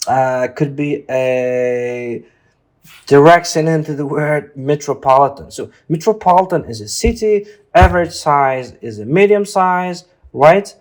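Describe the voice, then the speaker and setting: A man tutoring informally through an exam exercise, speaking haltingly and often checking in with a questioning tag. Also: fairly high pitch, darkish tone. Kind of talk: informal lesson, walking through a reading test.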